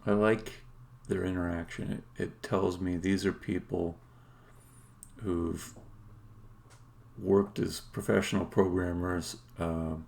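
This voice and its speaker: A man talking casually and haltingly as he thinks aloud on a live coding stream, with some words stressed emphatically. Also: low-pitched, creaky, darkish tone. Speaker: game developer livestreaming.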